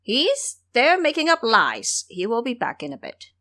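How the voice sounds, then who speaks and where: Mischievous male monkey disciple, played by a woman, high swooping pitch, sharp-tongued, scolding, bossy, audio drama. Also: huge pitch swings, measured pace, heavily acted. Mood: teasing. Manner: taunting, scolding.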